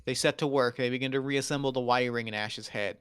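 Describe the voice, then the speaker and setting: A man's voice: mid-range voice, steady tone, brisk, fairly bright tone, slight background noise. A man narrating the stage directions of a scripted drama at a table read.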